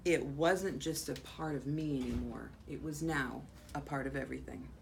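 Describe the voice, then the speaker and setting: Low-voiced, creaky American woman reading a storybook. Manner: dramatic pauses, drawn-out words, drops to hushed tones. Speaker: school principal reading a bedtime story. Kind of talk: expressive read-aloud of a children's picture book. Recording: faint background noise.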